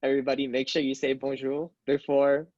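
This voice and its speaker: A man's voice: mid-range voice, completely flat delivery, fairly quick, a little mellow, no echo, muffled recording. A young man from Canada chatting casually on a video call, hesitant with many fillers and restarts. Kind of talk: casual group chat.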